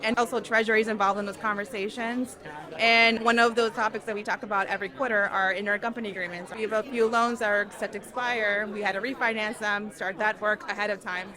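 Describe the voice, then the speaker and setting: A woman's voice: mid-range voice, fairly even intonation, fast. A woman giving an informal account of her company's work practice, as a corporate professional. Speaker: corporate taxpayer at an event. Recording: busy crowd chatter behind voice.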